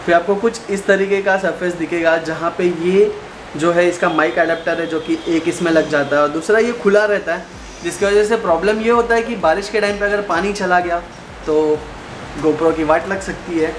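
Chatty young man, roomy noisy recording. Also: a little high. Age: young adult. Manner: chatty, explains step by step to viewers. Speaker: motovlogger. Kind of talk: casual how-to tutorial. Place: small room indoors at a desk. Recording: roomy with clear background noise.

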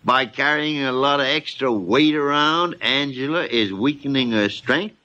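An actor playing a gruff, blunt, crusty senior doctor who hems and haws in an old-time radio drama. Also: male voice, mid-range voice, unhurried, sharp tone. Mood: dry. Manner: gruff, dry, hemming and hawing. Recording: dull old radio recording.

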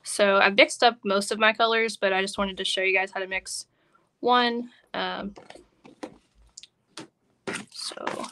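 A woman with a bright, forward voice leads a live hands-on craft lesson. She talks casually and informally at an average pitch, explaining each step as she works. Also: fairly even intonation, takes it slowly, long pauses. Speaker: craft instructor. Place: work table indoors, live online stream.

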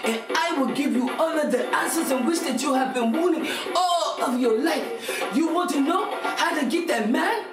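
A woman's voice: high-pitched, moderate pace, hardly any pauses, close to an everyday voice. A woman playing a brash, money-hungry Haitian TV psychic who sells her hotline in a bossy, theatrical, insistent way. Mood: showy salesmanship. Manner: theatrical, bossy, insistent, repeats phrases for emphasis. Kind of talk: comedy skit of infomercial and call-in psychic show. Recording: echoey room, distant mic, music behind.

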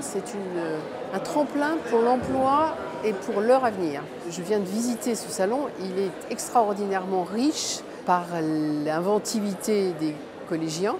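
A woman giving interview remarks as a local government representative. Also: mid-range voice. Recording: clearly noisy event ambience. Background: ambient event noise.

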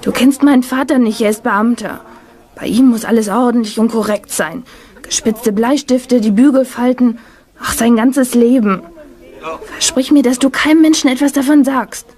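Woman acting a mellow, high-voiced, despairing young actress. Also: normal speed, lightly acted. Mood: anxious, desperate. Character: distraught pregnant young actress, fearful and despairing. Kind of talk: German radio drama acting.

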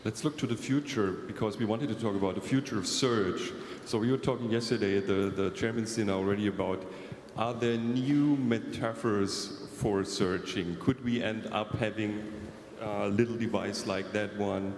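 A man moderating a conference panel, halting and searching for words. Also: lowish voice, steady tone, darkish tone. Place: large conference hall. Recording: echoey conference hall, faint noise.

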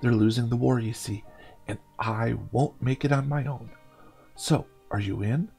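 Man playing a subdued, gravelly blacksmith. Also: mid-range voice, a little slow, dark tone, soft background music, close to an everyday voice. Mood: sad, pleading. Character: sad bulky blacksmith pleading for help. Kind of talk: storybook game read-aloud.

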